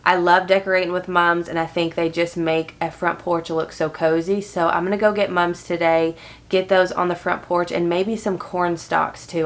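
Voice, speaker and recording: A woman in her thirties talks straight to the camera from a cozy living room. Her voice sits a little low and sounds nasal and stuffed up, as if her head is congested. She keeps a chatty, upbeat, friendly tone. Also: a bit bright. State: congested from allergies. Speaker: lifestyle vlogger. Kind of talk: casual talk to camera.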